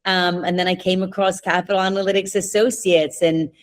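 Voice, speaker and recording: A woman's voice: on the low side, little pitch movement, brisk. A young adult woman, raised in Spain, chatting openly as a podcast guest and laughing often. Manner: chatty, laughs often, asks herself questions. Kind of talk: casual interview answers.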